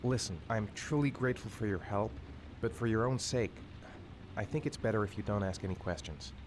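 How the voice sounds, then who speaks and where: A man's voice: fairly low pitch, a little fast, close to an everyday voice. A man playing a weary, secretive man in trouble in a cinematic video game, terse and guarded. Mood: bleak, guarded. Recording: clearly noisy background ambience.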